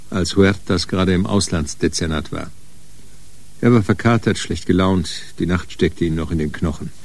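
A man's voice: low-pitched, darkish tone. A man narrating a radio crime drama in a scripted, literary style.

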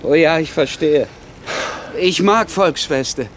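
A man's voice: fairly high pitch, slow pace, a little hiss or hum. An actor playing a patient, deferential male assistant in a German radio drama, speaking matter-of-factly. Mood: accommodating. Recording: dialogue over very loud background music.

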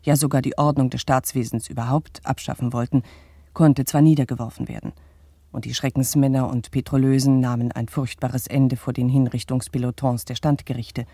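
An actor playing a pompous, indignant bourgeois narrator of the 1870s, addressing the public in formal, old-fashioned, declamatory phrases in a radio play. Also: male voice, mid-range voice, level tone, a little fast, warm tone, husky, no room sound, clean studio sound. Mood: grave indignation.

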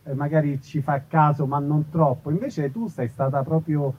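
A man hosts a live interview in a casual style. His voice is fairly high and husky, and the recording sounds thin, like a phone line. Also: steady tone, normal speed. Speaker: event host and interviewer. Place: live festival event in an olive grove.

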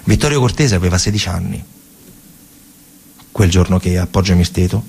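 A man with a central Italian, Roman accent performing a colloquial, emphatic theatrical storytelling monologue, pausing and repeating words, in a gravelly, husky voice. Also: deep voice, darkish tone, a little hiss or hum. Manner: colloquial, emphatic, with pauses and repetitions. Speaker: stage actor and narrator.

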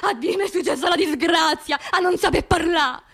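A woman's voice: extremely high pitch, moderate pace, fairly bright tone. An actress playing an angry, grieving working-class Roman woman in a theatrical radio monologue, colloquial and bitter, demanding justice. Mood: anguished outrage. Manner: impassioned, colloquial, bitter rhetorical questions.

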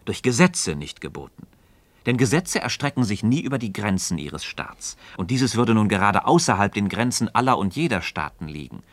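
An actor playing a calm, thoughtful philosopher and evasive mentor in a radio play, speaking in deliberate, rhetorical literary diction. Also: male voice, fairly low pitch, no room sound. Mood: earnest, persuasive.

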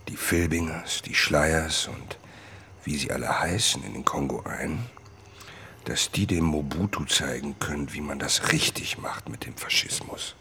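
A man acting as a brooding, suspicious middle-aged writer, narrating a radio play in the first person with a gravelly, measured, introspective delivery. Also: extremely low pitch, sharp tone, slight background noise, full character voice. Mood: bitter, defiant. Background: music.

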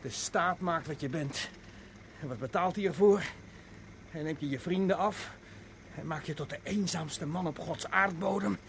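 A man's voice: fairly high pitch, moderate pace, creaky. An actor playing a nervous, defensive executioner in a radio play, hesitant and stammering as he justifies himself. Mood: bitter, self-pitying. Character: nervous, defensive executioner, a lonely drinking outcast. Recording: noisy radio play recording, car sounds. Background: car engine and road noise.

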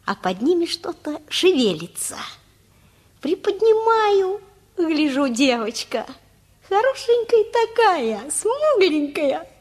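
A woman's voice: sits high, a little mellow, heavily acted. An actress playing a homely, grandmotherly older woman in a radio play.

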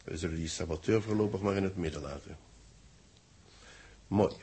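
An actor playing an authoritative man dictating the story of a space mission, measured and formal, in a 1960s radio drama. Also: low-pitched, mellow, slight background noise, close to an everyday voice. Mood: formal, grave. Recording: muffled-voiced old 1960s radio recording.

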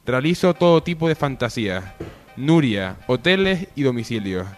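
A man's voice: medium pitch, very animated, moderate pace, soft background music. A man with an accent from Spain, hosting a comedy radio show in casual, bantering style.

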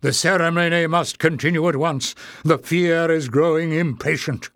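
A man's voice: fairly high pitch, fairly even intonation, normal speed, a bit bright, no room sound, studio quality, pitched above the performer's own voice. A man voicing a wrinkled old male goblin official who gives stern, scolding orders. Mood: imperious.

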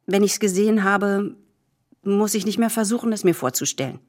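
A woman's voice: mid-range voice, brisk, a little mellow, close to an everyday voice. An actress playing a bereaved young woman in an audio drama, wounded and guarded, hesitant yet stubbornly insistent. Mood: insistent, bitter. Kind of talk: German audio drama acting.

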